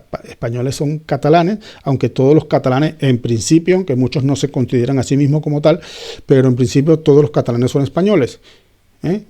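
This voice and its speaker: A man's voice: fairly high pitch, mellow. A man giving an informal Bible teaching, talking conversationally with frequent restarts and checking questions to his listeners. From Spain.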